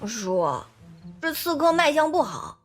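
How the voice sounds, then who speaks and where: A woman's voice: high-pitched, big pitch swings, measured pace. A woman voicing a clever, precocious four-year-old boy in an audio drama, with a wheedling, childish whine. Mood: whiny.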